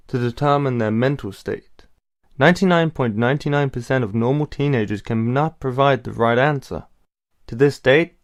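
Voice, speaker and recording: Man acting a calm, eloquent teenage older brother. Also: mid-range voice, natural voice. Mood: knowing. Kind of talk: amateur audio drama acting.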